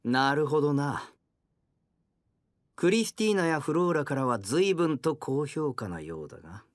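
Arrogant young male hero, anime audio drama, pompous gourmet bluster, highly animated intonation. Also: normal speed, no room sound. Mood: scornful. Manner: pompous, blustering, rough-spoken.

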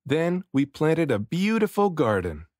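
Animated man reading a children's storybook. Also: on the high side, a little slow, no room sound, studio quality. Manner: expressive, varies delivery for emphasis. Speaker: children's book reader, dad and voice actor. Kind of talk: read-aloud storybook narration.

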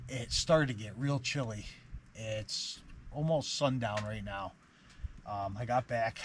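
A man's voice: medium pitch, background noise. A man in his thirties vlogging casually from inside a tent in the woods, rambling through his plans with fillers and restarts. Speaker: outdoor vlogger. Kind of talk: casual camp vlog. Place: inside a canvas hot tent in autumn woods.

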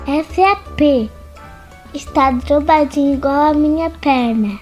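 A woman playing a proud little girl in a radio drama, speaking in a halting, childlike way. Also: high-pitched. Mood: playful, halting. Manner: slow, halting childlike delivery. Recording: music behind the voice.

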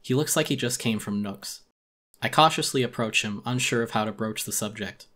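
A man's voice: on the low side, moderate pace, pushed and bright. A man narrating a scripted first-person story as a dramatic audiobook, in a theatrical, brooding manner.

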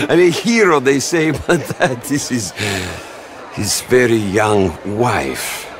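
Haughty Italian gangster boss, contemptuous, unhurried, highly animated pitch, mocking, game cutscene, noisy background. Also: male voice. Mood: gleeful contempt. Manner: sneering, mocking, laughs through his talk. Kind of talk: video game cutscene acting. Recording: clear background noise.

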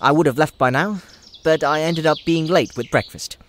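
A man voicing a genial older gentleman hobbit, courteous, musing and whimsical, in an audio drama. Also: on the high side, moderate pace, slight background noise, close to an everyday voice. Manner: courteous, musing, hesitant openings. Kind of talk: audio drama character acting.

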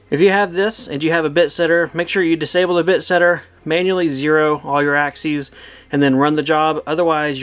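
Man, 30s, slightly high voice, easygoing hobbyist host; casual how-to narration in his home shop. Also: a bit bright. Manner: easygoing, plainspoken, thinks aloud. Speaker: woodworking channel host. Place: home woodshop.

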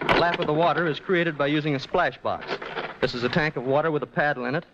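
A man speaks as a guest expert on 1940s radio, in a voice a little higher than most men's. He gives scripted explanations. The sound is dull and clearly noisy, like an old broadcast recording. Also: fairly even intonation, quick pace. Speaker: sound effects department head, guest explainer.

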